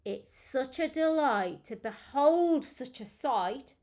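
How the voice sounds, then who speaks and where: A woman voicing a sly, greedy, lurking crocodile from a children's storybook, building suspense in measured rhyme. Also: high voice, animated, darkish tone. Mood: sly, relishing. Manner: measured, suspenseful rhyming delivery.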